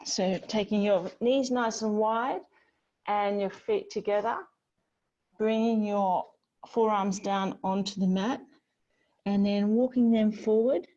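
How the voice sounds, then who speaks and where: A woman teaching a yoga class, giving gentle, unhurried guidance with pauses between phrases. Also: medium pitch, steady tone. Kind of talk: guided yoga class instruction. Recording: slightly dull, lo-fi sound.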